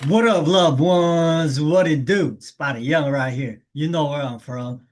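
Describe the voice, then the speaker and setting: A man's voice: a little high, over music. Slangy male interview host. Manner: slangy, loose, halting questions. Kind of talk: casual interview.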